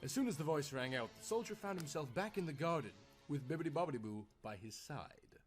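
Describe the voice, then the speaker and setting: A man's voice: on the high side, lively intonation, brisk. A man reading a scripted tale as a theatrical, dramatic storyteller. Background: music.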